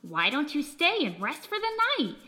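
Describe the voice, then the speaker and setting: A woman's voice: high-pitched, wildly swooping pitch, moderate pace, bright, forceful tone, full character voice. A woman voicing a friendly, genteel male snake mayor with an effusive, welcoming manner in a children's story.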